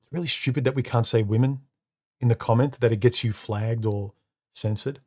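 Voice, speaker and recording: A man with a deep, slightly muffled voice hosts a live stream from home. He speaks casually and haltingly, often repeating a word before he finds his sentence. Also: steady tone, a little mellow. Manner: halting, repeats words, many uh and um fillers. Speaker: live stream host reading comments. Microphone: studio microphone.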